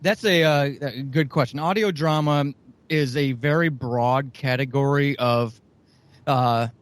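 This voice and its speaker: A man's voice: mid-range voice, measured pace, dry recording. A man who writes and produces audio dramas, answering interview questions in a rambling way with lots of fillers.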